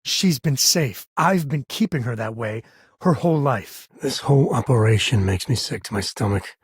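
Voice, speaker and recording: A rugged frontier spacefarer from a video game, an American man with a husky, medium-pitched voice. He speaks in a folksy, earnest way with a wry edge, like a protective father. The sound is dry and close. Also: lively intonation, normal speed, dry recording. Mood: earnest, measured. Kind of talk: video game character voice acting.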